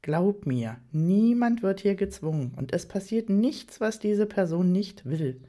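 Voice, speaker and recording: A narrator voicing a cheerful male colleague who recites a Christmas poem slowly and evenly. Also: high voice, lively intonation, dark tone. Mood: reassuring.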